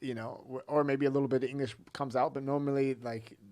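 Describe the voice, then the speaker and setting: A man's voice: medium pitch, level tone, quick pace, darkish tone. A man co-hosting a podcast, chatting casually with lots of fillers and easy laughter. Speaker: podcast co-host. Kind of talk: casual chat.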